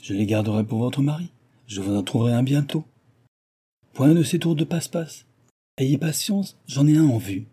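A man reading an audiobook and voicing a stingy, gruff old male miser who blusters and scolds. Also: medium pitch, moderate pace, voice pushed up, natural voice. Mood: dismissive. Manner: blustering, shouting, scornful. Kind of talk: audiobook dialogue.

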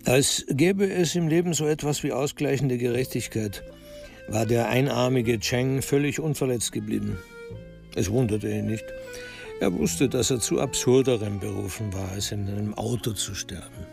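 A man narrating a scripted radio crime drama in a wry, measured storytelling manner. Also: mid-range voice, vocal fry, over music.

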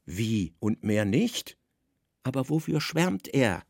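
A middle-aged Roman governor in a radio drama, stern and slyly plotting. His voice is creaky and rough, closely and dryly recorded. He speaks with measured authority and insinuation. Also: mid-range voice, big pitch swings, darkish tone, dry recording, clean studio sound, full character voice. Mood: probing. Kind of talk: radio play dialogue.

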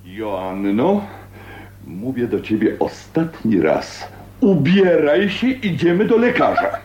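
An actor playing a stern, domineering uncle in a radio drama. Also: male voice, mid-range voice, big pitch swings, slow pace, a little mellow, reverberant, muffled recording. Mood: threatening. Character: stern, bossy uncle of a family.

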